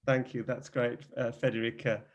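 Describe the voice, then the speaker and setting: A man's voice: mid-range voice, flat delivery, moderate pace. A man hosting an alumni video call who hesitates and uses many fillers. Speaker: host of the event.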